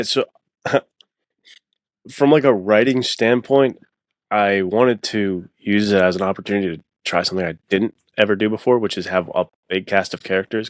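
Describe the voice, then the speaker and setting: A man's voice: low-pitched, no room sound, studio quality. A man talking as a guest author in a casual, slangy podcast chat. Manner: informal, slangy.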